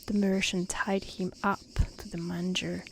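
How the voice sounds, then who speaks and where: A woman reading a storybook aloud in a soft, breathy, half-whispered ASMR style, gently and carefully. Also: on the low side, level tone, measured pace. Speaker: narrator reading a fairy tale. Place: outdoors in nature. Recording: faint outdoor insect noise. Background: crickets and insect ambience.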